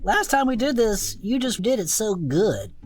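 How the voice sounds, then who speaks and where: A woman's voice: medium pitch, sing-song, normal speed, soft background music, natural voice. A woman playing a wry, skeptical, folksy cryptid investigator who questions everything, in conversational audio fiction. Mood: wry, hesitant.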